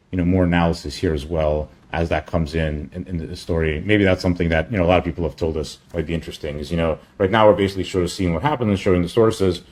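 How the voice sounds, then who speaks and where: A man giving a casual screen-share product update as a company chief, in a halting, rambling way with offhand asides. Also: sits low, darkish tone.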